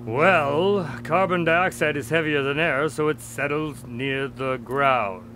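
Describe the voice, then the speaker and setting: A man playing an earnest, measured doctor and detective's sidekick in a radio drama. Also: a little high, noisy background. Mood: puzzled, thoughtful. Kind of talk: radio drama dialogue and storytelling.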